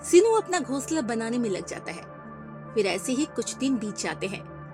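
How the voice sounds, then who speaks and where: A woman narrating a children's cartoon story in a clear storytelling way. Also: a little high, sing-song, moderate pace. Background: background music.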